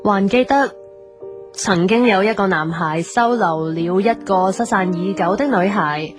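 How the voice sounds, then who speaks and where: A woman's voice: mid-range voice, measured pace, over music, lightly acted. An actress playing a young woman in an audio drama, with a Hong Kong Cantonese accent. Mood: gentle, reading aloud.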